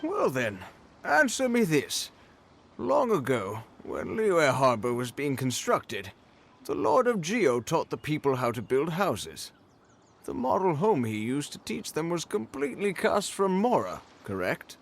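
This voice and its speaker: An actor playing an argumentative scholar in a video game, challenging and combative. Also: male voice, on the high side, huge pitch swings, unhurried, gravelly, a little hiss or hum, full character voice. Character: argumentative male scholar bystander.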